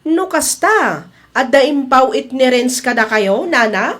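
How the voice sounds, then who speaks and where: A woman's voice: high voice, expressive, measured pace, a bit bright, exaggerated voice. A woman with an Ilocano accent playing a friendly, curious shopping companion in an audio comedy drama.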